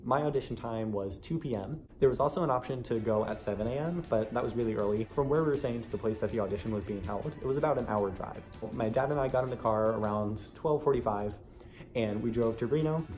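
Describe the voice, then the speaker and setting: A man's voice: mid-range voice, fast talker, a little mellow, soft background music. A young American man telling a story casually to camera in a chatty, conversational way. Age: young adult. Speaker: vlogger sharing an audition experience.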